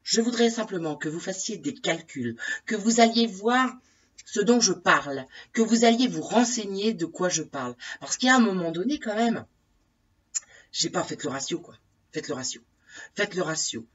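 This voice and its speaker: A woman's voice: medium pitch, expressive, normal speed, breathy edge. A woman with a head cold, ranting indignantly in a casual monologue to her followers, repeating herself and asking pointed questions. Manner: indignant, exasperated, repeats herself, many fillers and tag questions. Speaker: vlogger addressing her followers. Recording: distant, dull lo-fi recording.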